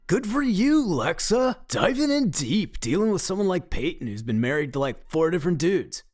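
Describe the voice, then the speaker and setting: An actor playing a goofy, crude, pun-loving pizza cook in a comedic radio play, with a clownish, theatrical delivery. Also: male voice, sits high, sing-song, normal speed, darkish tone. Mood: nosy, teasing. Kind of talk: comedic radio drama acting.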